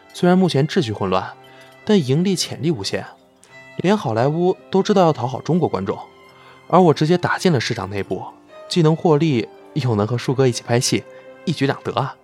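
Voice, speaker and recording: A man's voice: medium pitch, lively intonation, a little fast, darkish tone, faint music behind, natural voice. An actor playing an eager, deferential young male film star in a Chinese audio drama. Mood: persuasive.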